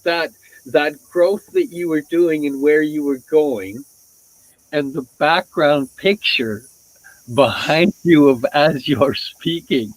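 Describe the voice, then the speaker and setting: A man's voice: a little high, low-quality recording. A man hosting a casual interview over a video call, asking curious questions in a loose manner that includes casual swearing. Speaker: host.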